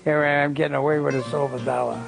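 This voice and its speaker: A man's voice: fairly high pitch, level tone, fast talker, creaky. An older man being interviewed, telling anecdotes in a plain-spoken, salty, conversational way. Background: guitar music.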